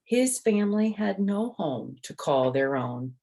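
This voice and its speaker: An older woman reading a picture book aloud to children, gently explaining with chatty asides and questions to the listener. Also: medium pitch, very animated, measured pace. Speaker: storyteller and host.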